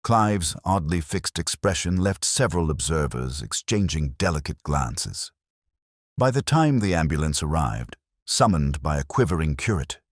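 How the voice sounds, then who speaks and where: Super deep guy reading a wry mystery story, dry measured delivery, real animated pitch, no room sound. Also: slightly rough, no room sound. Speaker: narrator. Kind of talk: scripted narration of a wry British village mystery.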